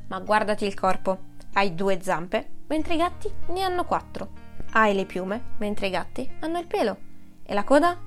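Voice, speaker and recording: A woman voicing a bossy, loud-mouthed female chimpanzee in a read-aloud story, scolding and mocking. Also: mid-range voice, sing-song, moderate pace, faint music behind, natural voice. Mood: scornful. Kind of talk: audiobook character reading.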